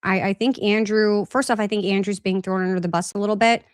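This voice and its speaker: A woman's voice: mid-range voice, steady tone, quick pace, smooth, dry recording, studio quality. A woman hosting a casual live-stream Q&A, reading out viewer comments in a loose, rambling way, a little congested with a slight cough. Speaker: podcast host. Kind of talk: live-stream recap and chat Q&A.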